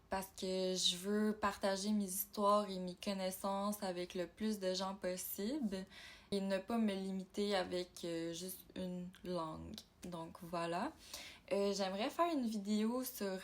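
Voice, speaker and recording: A woman from Ontario, talking in a casual personal vlog, reflective and halting as she thinks aloud. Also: medium pitch. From Ontario, Canada. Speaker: YouTuber.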